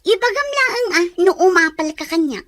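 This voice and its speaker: High-pitched, defiant young woman. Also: expressive, normal speed, fairly bright tone, dry recording. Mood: angry, accusing. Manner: scolding, defiant. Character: headstrong, resentful young woman, scolding her friend. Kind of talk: radio serial drama acting.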